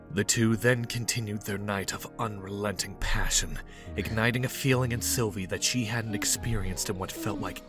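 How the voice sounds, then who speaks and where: A man reading scripted third-person narration as the storyteller of an audio drama. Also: fairly low pitch.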